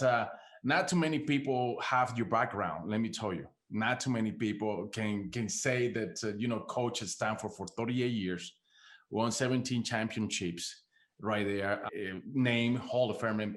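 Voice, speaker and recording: A man's voice: on the low side, normal speed. A man from Venezuela with a Venezuelan Spanish accent, hosting a podcast interview in casual, enthusiastic talk, often repeating his words. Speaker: podcast host.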